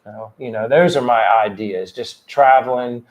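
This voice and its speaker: A man's voice: medium pitch, moderate pace, dull audio. Casual livestream guy in his 50s, from pennsylvania, earnest, restarts a lot. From Pennsylvania, USA. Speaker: livestream co-host.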